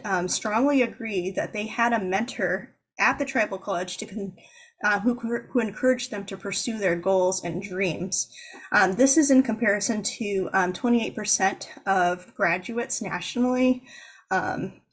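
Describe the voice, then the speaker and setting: Halting woman presenting a webinar. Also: medium pitch, breathy edge. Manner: halting, lots of ums, informative. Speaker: presenter for the organizing fund.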